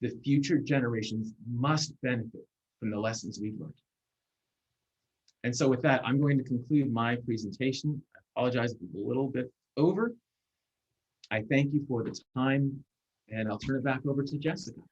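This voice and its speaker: A man in his forties, a guest speaker, giving a measured, earnest talk over a video call, with fillers and restarts. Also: mid-range voice, slightly rough. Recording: muffled video call audio.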